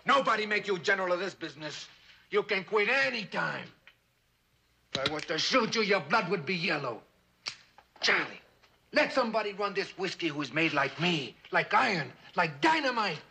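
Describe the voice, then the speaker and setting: An actor playing a boastful, menacing whiskey smuggler, speaking emphatic broken English in a television crime drama. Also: male voice, high voice, lively intonation, normal speed, gravelly, pushed and bright, full character voice. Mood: defiant, angry. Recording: noisy old TV soundtrack.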